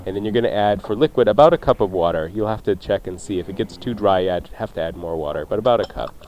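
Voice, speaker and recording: A man's voice: fairly low pitch, animated, fast talker, darkish tone, slight background noise. A man hosting a radio cooking show, chatting casually and playfully as he jokes through recipes. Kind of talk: casual, jokey recipe banter.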